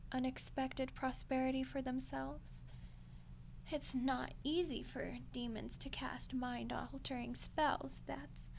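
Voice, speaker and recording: A woman playing a smug, condescending female archdemon in a soft-spoken ASMR roleplay, unhurried and menacingly polite. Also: high voice, creaky, a little hiss or hum, full character voice. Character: ancient female archdemon, smug, coolly seductive deal-maker.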